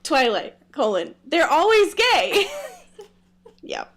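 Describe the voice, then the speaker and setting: A young woman with a fairly high, very animated voice. She talks like a podcast co-host in casual comic discussion, leaning hard on her words with sassy, sweary emphasis. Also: slow. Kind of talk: casual comedic book discussion.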